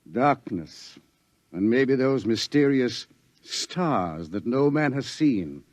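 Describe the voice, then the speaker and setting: A man's voice: medium pitch, darkish tone, dry recording, dull audio. An actor playing a male professor in a 1950s radio drama, explaining the coming doom with some hesitation. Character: male professor, scientist, wry and grave. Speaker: scientist.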